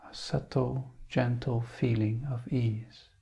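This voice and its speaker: A man leading a guided meditation in a calm, unhurried manner, leaving long pauses. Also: mid-range voice, creaky.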